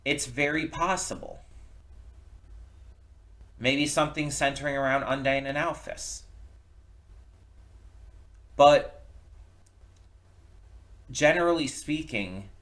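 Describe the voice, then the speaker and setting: A man giving casual, unscripted reaction commentary, thinking aloud in a reflective, halting way and often repeating words. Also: a little high, fairly bright tone. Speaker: reaction video host. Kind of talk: casual unscripted commentary, post-viewing thoughts.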